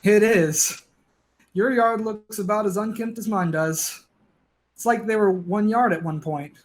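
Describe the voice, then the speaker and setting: A man's voice: sits high, unhurried, slight background noise. A man playing a down-on-his-luck, bickering but conciliatory neighbor in a stage play, talking in a wry, colloquial way. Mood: wry, conversational.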